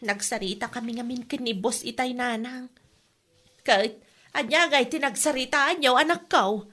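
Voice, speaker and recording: A woman's voice: fairly high pitch, moderate pace, pushed and bright. A woman with an Ilocano accent acting in an anxious family exchange in a radio comedy drama, plaintive and exclamatory. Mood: worried.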